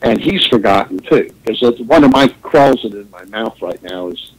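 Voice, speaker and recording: A man's voice: fairly low pitch, lively intonation, normal speed, rough recording. A man who grew up in Japan, hosting a radio talk show, rambling through an opinionated monologue with wry chuckles.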